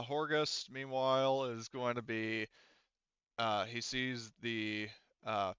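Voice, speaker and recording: A man's voice: medium pitch, pushed and bright, smooth, dry recording, muffled recording. A man running a tabletop game as game master, narrating casually with swearing and jokes. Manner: sweary, joking.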